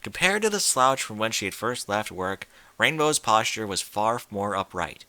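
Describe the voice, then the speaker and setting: A man's voice: on the low side, sing-song, moderate pace, pushed and bright, no echo. A man reading a scripted piece of fiction as an audiobook narrator.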